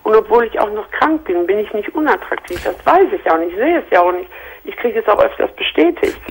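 A woman in her forties calling in by phone, distraught and pleading, with a gravelly voice. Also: medium pitch, very animated, fairly quick. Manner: distraught, pleading, quotes others. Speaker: caller. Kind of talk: emotional call-in confession. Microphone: telephone. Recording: phone line with faint hiss.

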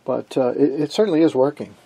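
A man presenting an unscripted technical demonstration in a halting, matter-of-fact way. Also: medium pitch, gravelly, warm tone, slight background noise. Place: indoors at an electronics workbench.

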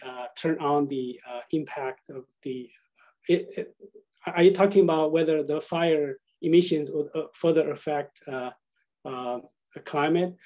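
A man gives a scientific presentation over a video call. His voice is mellow and a bit high in pitch. He speaks haltingly, repeating himself as he searches for words.

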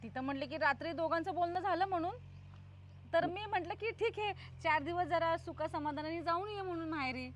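A woman's voice: very high voice, brisk, a little hiss or hum. A woman playing a spiteful, domineering middle-aged mother-in-law in a family drama, with colloquial Maharashtrian Marathi and a scolding, repetitive way of talking. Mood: evasive. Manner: scolding, repeats phrases insistently. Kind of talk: amateur family drama acting.

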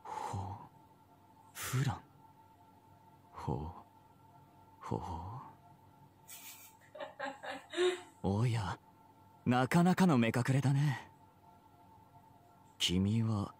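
A man's voice: medium pitch, slight background noise. A man playing an elegant, teasing pirate captain in a game, polite, theatrical and self-assured. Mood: courtly, intrigued. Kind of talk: Japanese game character voice acting.

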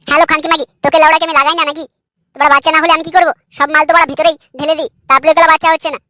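A man's voice: very high voice, flat delivery, racing, bright, forceful tone, dry recording, clean studio sound, heavily acted. A man voicing a crude, irritable young husband in a comedy cartoon, brash, argumentative and foul-mouthed. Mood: angry.

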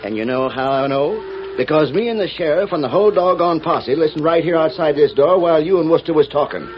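A man's voice: on the high side, fast, close to an everyday voice. A man playing a stern, folksy American cattle rancher with cowboy dialect in a 1940s radio western. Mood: triumphant, commanding. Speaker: radio drama actor. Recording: muffled, noisy old radio broadcast.

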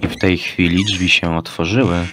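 A man's voice: low-pitched, fairly even intonation, normal speed, fairly bright tone, slight background noise. A man playing the narrator of a Christmas tale, reading scripted narration in an amateur drama. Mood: storytelling.

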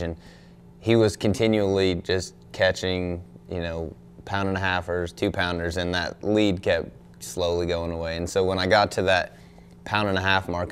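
A young man, a pro angler, answering questions at a press conference in a relaxed, thoughtful way, full of "you know" and "um". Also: low-pitched, smooth. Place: indoor press room in front of a backdrop. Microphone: desk microphone on a stand.

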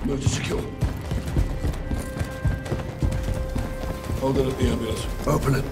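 An actor playing a hard British special forces captain in a game, with a gruff British accent and a curt, commanding manner. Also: male voice, medium pitch, fairly even intonation, rapid-fire, lightly acted. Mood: terse, focused. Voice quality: gruff, gravelly. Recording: very loud music and vehicle noise behind.

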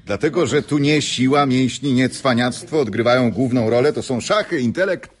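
An actor playing a genial, earnest tournament announcer in a radio drama, giving a ceremonial speech with slight hesitations. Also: male voice, medium pitch, moderate pace, lightly acted. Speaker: master of ceremonies. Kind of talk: Polish radio drama acting.

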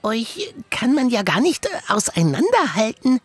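A woman playing a worried but determined young boy in a children's audio drama, with a husky voice. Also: on the high side, very animated, normal speed, no room sound. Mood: surprised. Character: young boy Sammy, worried, determined to save his friends.

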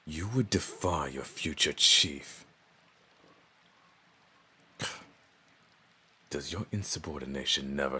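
An extremely deep-voiced male orc in a fantasy audio drama. The voice is rough and slow, pompous and menacing, that of a domineering bully who expects to rule. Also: sharp tone, exaggerated voice. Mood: outraged. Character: arrogant, domineering male orc, would-be chief.

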